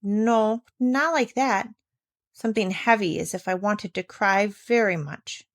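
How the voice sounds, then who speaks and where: A woman's voice: medium pitch, a little slow, lightly acted. A woman reading aloud voices a frightened, homesick little girl in a storybook who talks in a small, hesitant, tearful way.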